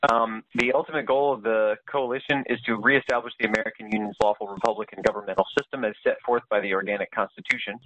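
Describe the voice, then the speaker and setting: A man leading a group conference call, explaining things informally in a halting way, often searching for words. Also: fairly low pitch, a bit bright, rough recording. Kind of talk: informal explanatory presentation, partly read aloud.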